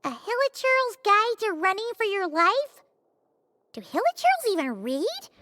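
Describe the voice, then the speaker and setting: A woman voicing a tiny, excitable, girlish fairy companion in a video game, with a squeaky childlike voice. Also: a little slow, faint music behind, exaggerated voice. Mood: curious, puzzled. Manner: refers to herself in third person, exclaims.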